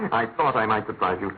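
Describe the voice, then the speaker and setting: Theatrical detective, swooping and tinny. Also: male voice, lowish voice. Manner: articulate, declamatory, musing. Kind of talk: classic British radio drama acting. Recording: tinny narrow-band radio recording with hiss.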